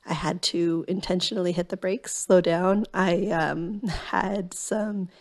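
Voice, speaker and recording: A woman's voice: on the low side, fairly even intonation, a little slow, darkish tone. A woman in her thirties, a mother, chatting to the camera in a casual vlog while losing her voice, sounding frazzled and self-deprecating. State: losing her voice, sore throat. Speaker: vlogger and mother. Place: home and parked car. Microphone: phone held at arm's length.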